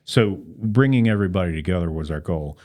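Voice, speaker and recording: A man's voice: deep voice, expressive, fairly quick, a little mellow. A man talking as a podcast guest, explaining things in an earnest, conversational way. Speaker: podcast guest, civic club member. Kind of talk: conversational interview.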